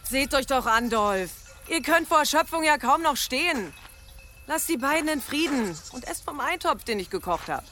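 An actress playing a defiant, sardonic village healer, mocking and sure of herself, in a radio drama. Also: female voice, high-pitched, expressive, moderate pace, bright, forceful tone, slight background noise.

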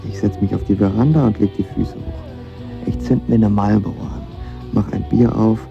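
A man's voice: fairly low pitch, steady tone, moderate pace, gravelly. An actor playing a lovestruck, nervous young man who tells his story in casual, slangy radio drama narration. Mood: infatuated, musing. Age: young adult. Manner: casual, slangy, self-deprecating. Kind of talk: first-person radio drama narration with dialogue. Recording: slightly dull audio with music behind.

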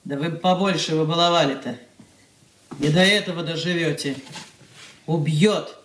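An actress in a radio drama playing a blunt family nanny, dour, grumbling and reproachful. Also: female voice, sits low, normal speed, close to an everyday voice. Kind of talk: Russian stage-style radio drama acting. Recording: distant, echoey studio pickup.

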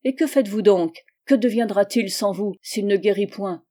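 A woman reading the part of an earnest young man, speaking with steady, measured persuasion in her own voice. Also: medium pitch, close to an everyday voice. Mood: firm. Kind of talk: audiobook dialogue reading.

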